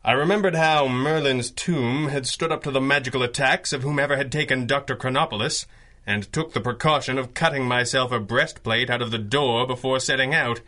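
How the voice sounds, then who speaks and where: An actor playing an unflappable, dry-witted masked crime-fighter in a 1930s-style superhero radio drama. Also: male voice, medium pitch, a little fast, natural voice. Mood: dry, composed. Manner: calm, dry, articulate.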